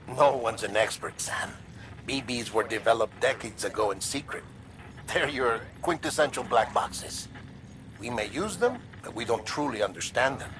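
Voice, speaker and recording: A man plays a talkative medical expert in a game cutscene. He explains in a mid-pitched voice with casual wryness and some evasiveness, over faint background noise. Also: lively intonation, moderate pace, a bit bright, close to an everyday voice, low-quality recording. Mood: explanatory, slightly defensive. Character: chatty, evasive male medical expert briefing a colleague. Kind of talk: conversational video game cutscene acting.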